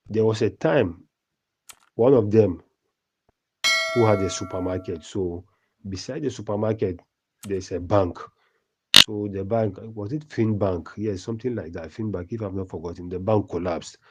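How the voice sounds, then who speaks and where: A man giving a long recorded voice message, lecturing in a steady explanatory way and often correcting himself. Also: lowish voice, breathy edge, dull audio. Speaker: voice note sender.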